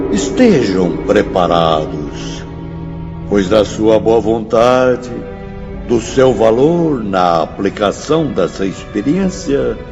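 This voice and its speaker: An actor playing a solemn spirit professor in a radio drama, exhorting his listeners with declamatory authority and drawn-out, emphatic phrases. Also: lowish voice, exaggerated voice. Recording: dull recording, long hall reverb, music behind.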